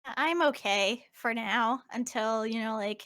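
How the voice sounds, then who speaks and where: A woman plays a young cartoon hedgehog heroine with a fairly high, bright voice. She is cheerful but a little anxious, chatting in a hesitant way. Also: unhurried. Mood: cheerful, wry. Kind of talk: improvised in-character fan Q&A.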